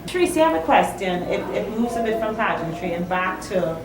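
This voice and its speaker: A woman's voice: mid-range voice, sing-song, fairly quick, echoey room, over music, low-quality recording. A woman co-hosting a talk show, asking a long, thoughtful question.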